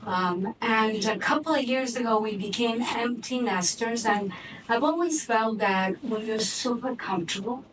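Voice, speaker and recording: A woman's voice: a little high, measured pace. A woman in her sixties with a Cuban Spanish accent, chatting on stage as a guest, often restarting her sentences. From Cuban-born, raised in Miami. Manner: conversational, frequent restarts and fillers. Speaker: board member and investor, guest. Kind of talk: fireside chat on stage. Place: office event space, live audience. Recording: distant mic with background noise.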